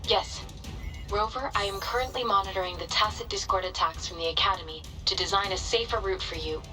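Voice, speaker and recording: A woman playing a composed, diligent researcher in a game cutscene, reporting calmly, precisely and formally. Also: medium pitch, a little fast, distant mic, faint music behind, lightly acted. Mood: calm, focused. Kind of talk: scripted video game cutscene acting.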